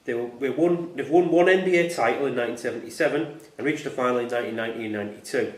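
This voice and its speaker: A male quizmaster reads quiz questions aloud in a club room. His voice is mid-pitched and carries a clear room echo.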